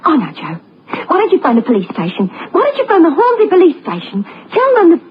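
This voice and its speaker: High-voiced, worried British wife. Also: female voice, huge pitch swings, quick pace, heavily acted. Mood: urgent, anxious. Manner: urgent, emotive. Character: worried, protective British wife, pleading and nagging. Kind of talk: old-time radio play acting. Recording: dull, faintly noisy old radio recording.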